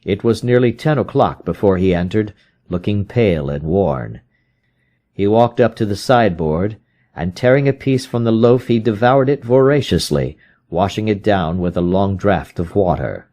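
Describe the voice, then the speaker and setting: A man's voice: lowish voice, lively intonation, normal speed, mellow. A man reading classic fiction aloud as scripted audiobook narration. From United States. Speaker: audiobook reader.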